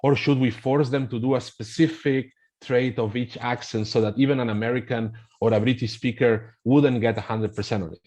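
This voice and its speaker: A man's voice: mid-range voice, fairly even intonation, normal speed, few pauses, darkish tone. A middle-aged man with a Spanish accent, explaining things in a hesitant conversational way, full of fillers and restarts. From Spain. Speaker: language app representative, guest.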